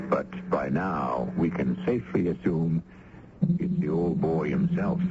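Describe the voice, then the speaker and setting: A man hosting a radio drama with scripted narration, knowing and theatrical. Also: sits low. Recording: muffled, noisy old radio recording.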